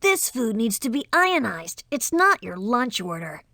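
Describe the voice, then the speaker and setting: High, animated young spy leader. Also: female voice, a little slow, gravelly, dry recording, clean studio sound, full character voice. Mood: instructive. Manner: instructive, take-charge. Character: confident, practical young female spy team leader. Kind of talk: educational game cartoon acting.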